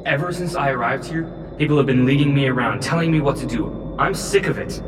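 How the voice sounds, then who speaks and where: A man's voice: medium pitch, a little fast, natural voice. An actor playing a defiant, confused teenage boy in a sci-fi audio drama, earnest and frustrated. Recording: echoey, distant, music underneath.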